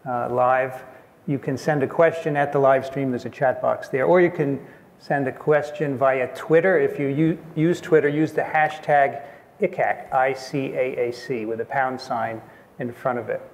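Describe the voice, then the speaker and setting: Male podcast host in a reverberant hall. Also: mid-range voice. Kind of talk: live podcast interview. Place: conference stage before an audience. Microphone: lapel mic. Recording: long hall reverb, faint noise.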